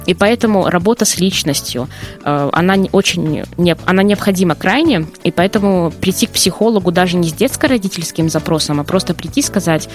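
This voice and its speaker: A woman psychologist, giving earnest advice in casual conversation, often pausing with hesitations. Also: fairly low pitch, soft background music. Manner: earnest, advising, with frequent hesitations. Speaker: psychologist guest. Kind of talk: casual talk show conversation.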